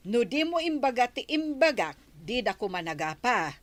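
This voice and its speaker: A woman's voice: on the high side, very animated, moderate pace, no room sound. An actress with an Ilocano accent playing a hesitant village woman in an audio drama.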